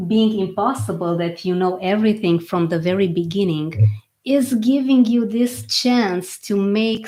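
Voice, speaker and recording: A woman's voice: medium pitch, a little mellow, poor audio. A woman hosting a motivational podcast, talking in an encouraging way and repeating her points for emphasis. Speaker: podcast host.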